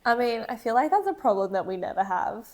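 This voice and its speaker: A woman's voice: fairly high pitch, very animated, brisk, crowd noise. A young woman co-hosting a knitting podcast, bubbly and a bit congested. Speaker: podcast co-host. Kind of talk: casual chat.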